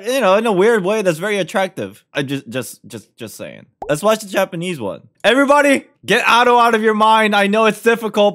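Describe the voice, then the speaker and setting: A young man reacting casually on a livestream, excitable and slangy, speaking close to a studio mic. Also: high voice. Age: young adult. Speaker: streamer. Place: small home room. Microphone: studio mic on boom arm, close.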